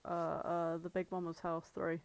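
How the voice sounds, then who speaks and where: A woman's voice: lowish voice, little pitch movement, moderate pace, creaky, no echo. Wry woman bantering, dry close sound. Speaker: podcast co-host. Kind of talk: casual banter.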